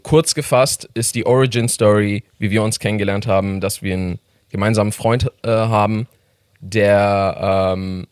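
A man with a slight Iranian accent, a filmmaker guest in a casual interview who talks haltingly, with many fillers and frequent laughs. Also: lowish voice, no room sound, studio quality. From Iran.

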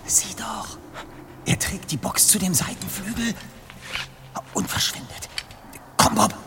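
A man's voice: sits high, slow pace, gravelly, background noise, heavily acted. A man playing a clever, bossy young detective in an audio drama, speaking in precise, pompous sentences and often whispering. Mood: urgent, hushed. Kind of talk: German audio drama acting.

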